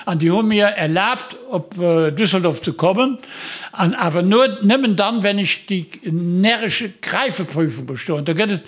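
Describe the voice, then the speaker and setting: High-voiced old guy, 80s, rambling and joking, lots of uh. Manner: rambling, joking, halting with many fillers. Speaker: guest. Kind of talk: casual storytelling chat.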